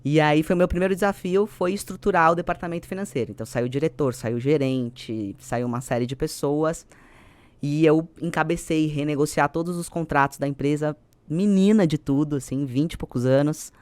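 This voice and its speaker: A woman of around forty with a Brazilian accent, telling her story conversationally as an interview guest, expressive and fond of tag questions. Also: sits low, brisk, clean tone, no room sound. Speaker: podcast guest, company executive.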